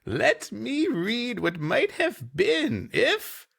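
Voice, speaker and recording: Eerie mystic fortune-teller, acted by a man, pulp sci-fi storytelling, drawn-out words, swooping pitch, gravelly edge. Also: wildly swooping pitch, fairly bright tone, full character voice. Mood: grand, ominous.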